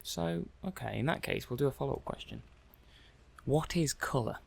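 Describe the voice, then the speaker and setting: A man playing a hesitant, curious robot technician in an ASMR roleplay, halting and musing aloud. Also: medium pitch, expressive, creaky. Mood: puzzled, musing. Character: hesitant, curious male robot technician running software tests. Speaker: audio roleplay performer. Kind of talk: soft-paced ASMR roleplay monologue.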